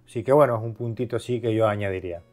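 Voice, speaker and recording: A man's voice: on the low side, fast talker, a little mellow, soft background music. A man from Spain giving a casual, conversational product review, explaining things by asking and answering his own questions. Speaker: video host, product reviewer.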